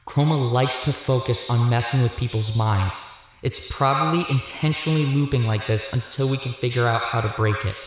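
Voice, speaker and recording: A man's voice: mid-range voice, normal speed, lightly acted. Man as echoey, take-charge adventurer. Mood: resolute. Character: determined man leading friends through a nightmare realm. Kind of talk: audio drama acting. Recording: very echoey reverberant space, music underneath.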